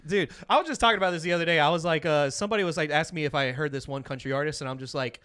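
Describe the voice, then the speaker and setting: A man's voice: on the high side, very fast, bright, forceful tone, clean tone, no echo, studio quality. A man chatting casually as a podcast co-host, joking in a crude, mocking way and swearing freely.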